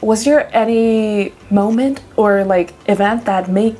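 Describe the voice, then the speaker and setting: A woman's voice: medium pitch, slow pace, mellow, a little hiss or hum. Polite, hesitant woman interviewer. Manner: polite, hesitant questions with fillers. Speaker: interviewer, host. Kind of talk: interview questions.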